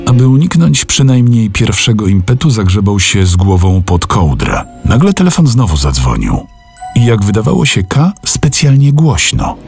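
Male narrator for a classic novel: lowish voice, highly varied intonation, careful diction, faint music underneath. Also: sing-song, vocal fry. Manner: measured, deliberate diction. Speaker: audiobook narrator, voice actor. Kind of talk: dramatic scripted literary narration.

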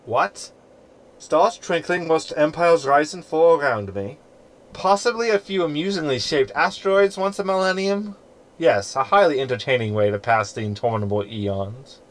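An actor playing a sardonic, unimpressed holographic crewmate in a science fiction audio sitcom. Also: male voice, a little high, moderate pace, natural voice. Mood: sarcastic. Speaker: actor in sci-fi comedy audio drama.

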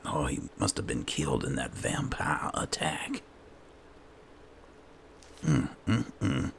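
A very low-voiced man with heavy fry talks slowly through a casual game roleplay, musing aloud to himself. His pitch swings widely as he goes. There is clear game noise behind him. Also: big pitch swings. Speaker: gaming host doing roleplay playthrough.

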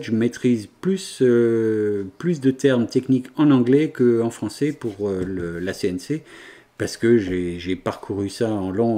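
A man's voice: on the low side, warm tone. A man in his forties, a woodworker, explaining his machine setup in a casual, hesitant way with many fillers, recorded in his workshop. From France. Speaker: woodworker and YouTuber. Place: large wood workshop, indoors.

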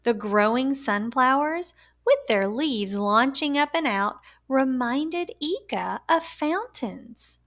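Slow, high-pitched woman reading a storybook. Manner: animated, deliberate, emphatic storytelling delivery for young listeners. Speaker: storyteller reading a picture book aloud. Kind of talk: expressive children's read-aloud narration.

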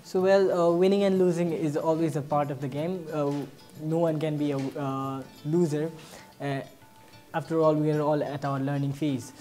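A man's voice: fairly high pitch, fairly even intonation, normal speed, mellow, soft background music. A man in his twenties with a Nepali accent, speaking earnestly and hesitantly as a contest participant. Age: 20s. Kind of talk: earnest interview reaction. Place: indoor event venue, before a banner.

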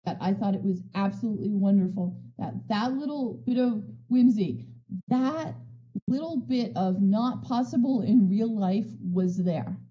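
Excitable woman on lo-fi voice chat. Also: medium pitch, measured pace. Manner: excitable, many restarts, laughs often. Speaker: panel speaker at an educators' conference. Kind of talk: conversational panel talk. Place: virtual world session via voice chat.

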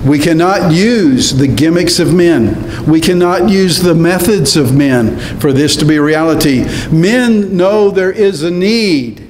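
A man's voice: animated, measured pace, few pauses, a little mellow. An older man, a pastor, preaching an earnest sermon at a pulpit in a church, rising to emphatic peaks. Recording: reverberant church hall with faint rumble.

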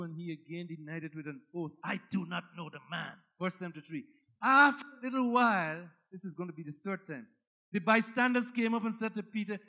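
Guyanese guy preaching, really high voice, bright and punchy, dramatic, repeats stuff for emphasis. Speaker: pastor. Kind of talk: sermon.

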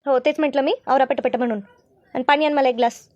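A woman's voice: sits high, steady tone, quick pace, darkish tone, no echo, muffled recording, lightly acted. A woman voicing a demanding older mother-in-law in a cartoon family drama, nagging and commanding with repeated words. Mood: impatient, nagging.

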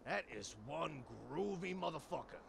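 A man's voice: on the high side, big pitch swings, normal speed, gravelly, background noise, full character voice. A man voicing a grumpy, foul-mouthed, bossy rabbit in his sixties for a cartoon adventure game. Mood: dry, bossy. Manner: sweary, bossy, slangy. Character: grumpy foul-mouthed 63-year-old cartoon rabbit, scheming salesman, male. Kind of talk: voiced game dialogue.

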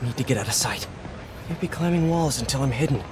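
A man's voice: medium pitch, expressive, quick pace, close to an everyday voice. Guy voicing a young american superhero for a game, wry muttering to himself, street noise behind. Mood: focused, quippy. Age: young adult. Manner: wry self-talk. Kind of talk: video game voice acting.

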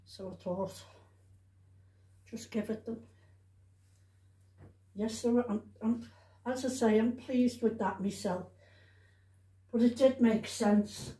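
Slow-talking woman in echoey room. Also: fairly high pitch, fairly even intonation, dark tone. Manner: chatty, repetitive, with trailing tag questions. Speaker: vlogger showing shopping haul. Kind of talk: casual rambling chat to camera. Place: indoors at home. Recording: roomy, echoey home recording.

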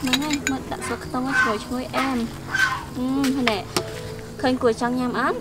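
A woman's voice: high-pitched, steady tone, moderate pace, heavy background noise. A young woman chatting casually while cooking in an outdoor rural kitchen, exclaiming and laughing often. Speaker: cooking vlogger. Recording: loud music under voice.

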